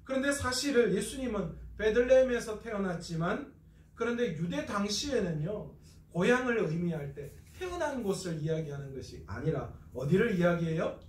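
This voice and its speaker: A man's voice: high-pitched, sing-song, normal speed, echoey room, distant mic. A man from North Gyeongsang, South Korea, preaching an expository Bible lecture as a pastor, asking questions and answering them himself.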